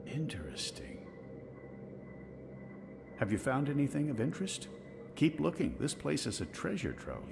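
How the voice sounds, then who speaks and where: A man's voice: medium pitch, big pitch swings, a little slow, vocal fry, long pauses, music in the background. An actor playing a scholarly, curious, dry-witted older man in a video game, musing in a bookish way. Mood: eager. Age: middle-aged. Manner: bookish, musing, exclaiming over finds. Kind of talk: video game dialogue acting.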